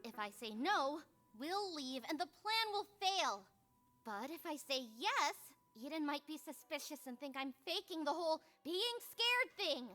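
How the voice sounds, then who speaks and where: A woman's voice: high-pitched, sing-song, measured pace, sharp tone, faint music behind, heavily acted. A woman voicing a brash, scheming teenage magical girl in a visual novel, with theatrical, exaggerated delivery. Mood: wary, scheming. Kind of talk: visual novel character acting.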